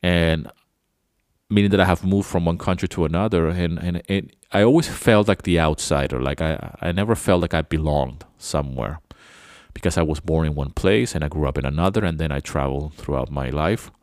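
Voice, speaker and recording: Very deep-voiced man rambling. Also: a little fast, darkish tone, no room sound, clean studio sound. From Venezuela. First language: Spanish. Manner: rambling, loose and chatty. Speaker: podcast host. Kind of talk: casual reflective monologue.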